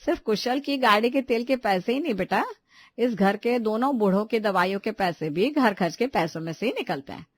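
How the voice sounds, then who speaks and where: A stern, shrewd matriarch of a family story , played by a woman. She lectures pointedly in a fairly high older woman's role. The recording is dry. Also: animated, fairly quick, dry recording. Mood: firm.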